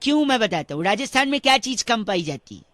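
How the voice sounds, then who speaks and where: A pestering prank caller with a very high-pitched voice and a close, dry studio sound. He lectures in a pedantic, mock-earnest ramble and keeps on at his listener, in radio comedy style. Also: big pitch swings, quick pace, fairly bright tone, no room sound. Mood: mock-logical. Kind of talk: comedy prank phone call.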